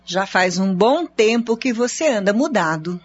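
A woman playing a breathy, chiding devout wife. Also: mid-range voice, animated, unhurried, dull audio. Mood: concerned. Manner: chiding, defensive. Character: devout wife, skeptical and superstitious. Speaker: radio drama actress.

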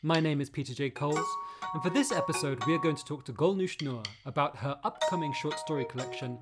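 A man hosting a literary podcast, introducing a guest and then asking soft, hesitant follow-up questions. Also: a little high, fast talker, loud music behind the voice. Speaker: podcast host.

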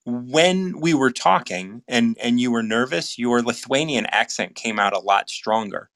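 A man's voice: mid-range voice, expressive, measured pace, a bit bright, no echo. Earnest male host with a dry sound. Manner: earnest, repeats words and restarts often. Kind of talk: casual live video chat.

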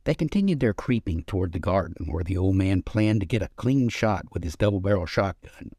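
Low-pitched man narrating a short story, dry sound. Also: expressive, brisk, darkish tone, husky, dry recording. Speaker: author reading own short story.

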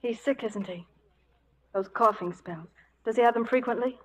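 A woman's voice: fairly high pitch, normal speed, close to an everyday voice. An actress playing a devoted, refined young woman from back East, earnest and pleading, in 1940s radio drama style. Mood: concerned. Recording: dull old radio broadcast, audience noise.

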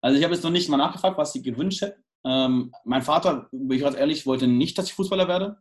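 A man's voice: medium pitch, fast talker. A young man from England, the guest in a casual interview, talking hesitantly with many restarts and fillers.

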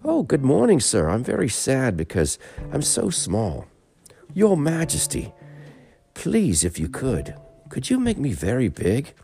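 A man's voice: mid-range voice, huge pitch swings, a little slow, creaky, dark tone, soft background music, voice pushed up, full character voice. A man voicing a small, eager young male rabbit in a storybook tale, talking in a polite, pleading way.

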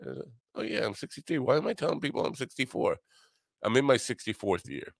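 A man of sixty-three hosting a podcast alone, talking in a casual, halting, meandering way with some swearing and self-deprecation. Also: medium pitch, very animated, gravelly, no room sound, clean studio sound. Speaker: podcast host. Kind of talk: casual rambling solo monologue.

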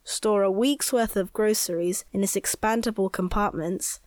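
A woman's voice: medium pitch, moderate pace, darkish tone, no echo, natural voice. A young boy acted by a woman reads aloud for a children's audio story. The voice is mid-range for a woman and recorded very dry and close. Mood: reading aloud. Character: young boy reading a product leaflet aloud. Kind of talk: children's audio drama acting, reading aloud.

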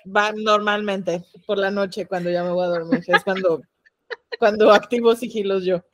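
A woman's voice: mid-range voice, steady tone, moderate pace, no echo. A woman with a Mexican accent co-hosting a podcast, talking in a chatty, playful way with slangy asides. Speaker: podcast co-host. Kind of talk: casual podcast chat about the occult.

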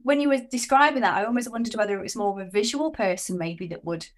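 A woman hosts a podcast, warmly affirming her guest with short responses. When she talks at length she muses aloud, circling her ideas with restarts before she lands on a question. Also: mid-range voice, fast. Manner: encouraging, affirming, musing aloud. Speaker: podcast host. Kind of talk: conversational podcast interview.

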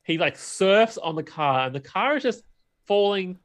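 A man's voice: high voice, expressive, normal speed. A man co-hosting a podcast, chatting casually about movies and games. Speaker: podcast co-host. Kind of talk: casual movie and game chat.

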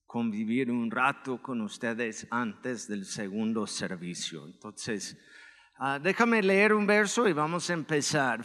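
American man preaching in Spanish, echoey church. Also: fairly high pitch. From United States. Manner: relaxed, joking, many filler words. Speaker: pastor. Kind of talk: sermon with personal testimony. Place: church hall.

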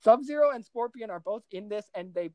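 A woman co-hosting a podcast, recapping movies in a casual, rambling, excitable way and often interrupting herself. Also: a little high, brisk, no room sound, lo-fi sound. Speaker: podcast co-host.

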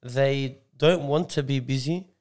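A male podcast co-host with a medium-pitched voice. He steers casual discussion with probing questions, often rephrasing them as he goes. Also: a little slow, darkish tone. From Australia, Lebanese background.

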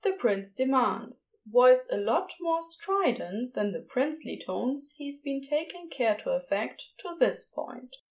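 A woman's voice: sits high, big pitch swings, measured pace, hardly any pauses, low-quality recording. A woman reading scripted fiction as the narrator of an audio story.

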